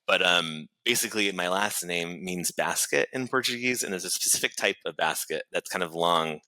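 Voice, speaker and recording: A man with a Boston accent, a guest on a podcast, telling his story in fast, chatty talk full of fillers and restarts. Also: low voice, pushed and bright, no echo, low-quality recording. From New Bedford, Massachusetts, USA. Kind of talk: casual interview chat.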